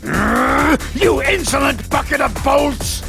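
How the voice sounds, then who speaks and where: Mad scientist game villain, pompous, gloating, booming, gravelly, wildly swooping delivery, music underneath. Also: male voice, sing-song, normal speed, heavily acted. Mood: outraged. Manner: booming, pompous, bellowed villain laughs and taunts. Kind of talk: theatrical video game cutscene acting.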